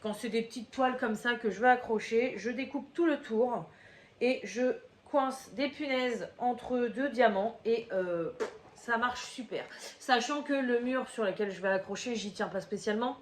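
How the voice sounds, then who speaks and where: A woman's voice: a little high, measured pace, slight background noise. Chatty woman with a cold, echoey room. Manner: rambling, many fillers and restarts. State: slightly congested with a cold. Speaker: crafting vlogger. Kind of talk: casual chatty video monologue. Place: room at home, table in front. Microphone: camera at table, head out of frame.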